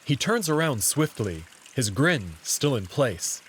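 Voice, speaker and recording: A man's voice: medium pitch, sing-song, takes it slowly, fairly bright tone, a little hiss or hum. A man reading first-person story narration in the role of narrator.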